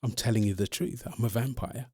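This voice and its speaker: A man's voice: medium pitch, a little fast, darkish tone, slightly rough, no echo, clean studio sound, lightly acted. A man playing an earnest centuries-old vampire in love, polite and insistent, in an ASMR-style audio roleplay. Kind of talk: ASMR audio roleplay, one-sided interview.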